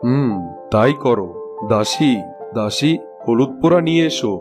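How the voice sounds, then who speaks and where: A man's voice: medium pitch, measured pace, over music, lightly acted. A man voicing a caring but commanding king in a Bangla cartoon fairy tale.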